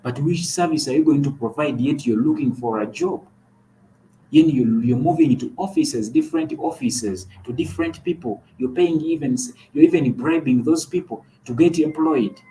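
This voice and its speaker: A man's voice: a little high, normal speed, mellow, slight background noise, poor audio. A man from Uganda co-hosting a talk show, giving earnest political commentary and often repeating words as he restarts.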